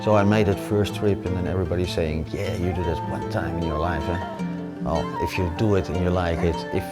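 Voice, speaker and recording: A Dutch-accented man with a slightly low voice talks through his travels in plain, matter-of-fact English, often pausing on "uh". Guitar music plays clearly beneath him throughout. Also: steady tone. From Netherlands. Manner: plain-spoken, lists feats matter-of-factly, frequent uh fillers. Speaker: adventurer interviewee. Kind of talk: casual interview talk. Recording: music playing underneath.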